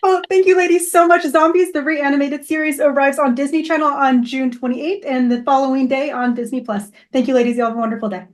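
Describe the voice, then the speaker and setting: A woman's voice: sits high, fairly even intonation, quick pace, few pauses. A woman hosting a casual interview in an informal, conversational way. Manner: informal, says y'all.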